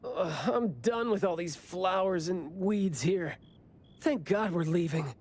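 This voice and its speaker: A woman's voice: fairly low pitch, slow pace, darkish tone. A woman playing a cocky, whiny, dramatic young squad captain in an anime video game.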